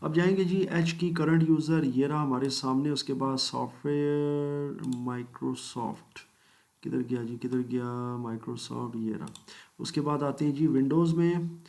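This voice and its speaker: Man, mid-pitched, patient step-by-step software tutorial narration. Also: fairly even intonation, takes it slowly. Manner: methodical step-by-step explaining, polite "ji" and "janab" asides; mixes English phrases into speech. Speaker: computer course instructor. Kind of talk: screen-recorded software tutorial.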